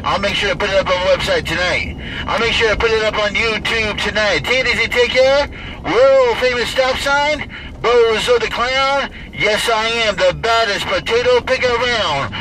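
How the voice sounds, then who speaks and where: A man's voice: sits high, moderate pace, bright, forceful tone. An American man chattering on CB radio in slang, with showy catchphrases and repeated calls. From Idaho, United States. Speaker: CB radio operator. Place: inside a parked or running vehicle. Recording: very noisy vehicle interior with radio static.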